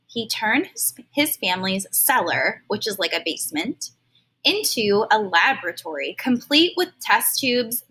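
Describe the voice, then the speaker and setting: Bright-voiced woman reading a storybook aloud. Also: mid-range voice, slightly rough, poor audio. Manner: expressive, stops to explain words simply. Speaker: teacher reading aloud to children. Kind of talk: storybook read-aloud with explanatory asides.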